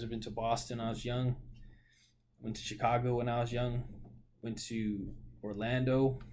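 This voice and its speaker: A man's voice: medium pitch, darkish tone, slight background noise. A man in his late twenties from Oregon, talking casually about his life in a rambling monologue full of fillers and self-corrections. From Portland, Oregon. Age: 20s. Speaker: vlogger.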